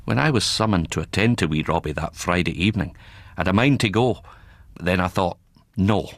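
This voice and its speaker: Deep-voiced wry old Scottish doctor. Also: male voice, brisk, no echo. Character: wry older Scottish country doctor narrating. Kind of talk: radio drama narration and dialogue.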